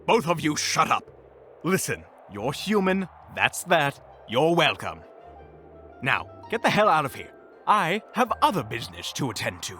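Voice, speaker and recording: A man's voice: fairly high pitch, sing-song, measured pace, bright, forceful tone, soft background music, full character voice. A man playing an arrogant, impatient villain wizard with a smug, theatrical manner in an audio roleplay. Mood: curt, irritated.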